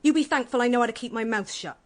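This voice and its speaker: An actress playing a defiant young English housemaid in a radio drama, bold, mocking and cutting. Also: female voice, on the high side, fast talker, lightly acted. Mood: angry defiance. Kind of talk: British radio drama acting.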